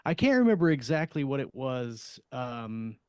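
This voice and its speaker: A man's voice: mid-range voice, sing-song, normal speed, darkish tone, smooth, no room sound. A man with a clean, resonant voice recorded very dry. He talks casually as a podcast co-host, rambling a little as he works through his thoughts in a panel discussion.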